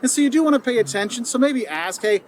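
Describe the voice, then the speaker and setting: A man's voice: very high-pitched, lively intonation, fast, slight background noise. A man from the United States talking to viewers in a vlog, giving upbeat, direct tips. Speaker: video creator giving tips.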